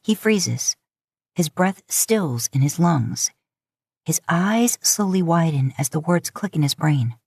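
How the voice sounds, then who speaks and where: A woman's voice: sits low, lively intonation, slow pace, a little mellow, slightly rough, dry recording, clean studio sound. A woman reading scripted fiction as an audiobook narrator.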